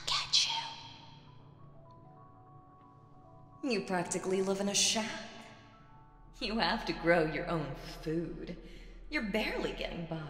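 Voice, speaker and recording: A woman playing a seductive, imperious demon queen in an audio roleplay, teasing and condescending, with drawn-out phrasing and breathy asides. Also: mid-range voice, a bit bright, exaggerated voice. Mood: teasing, mocking. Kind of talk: slow roleplay audio drama monologue with whispers. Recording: echoey reverberant hall with music behind.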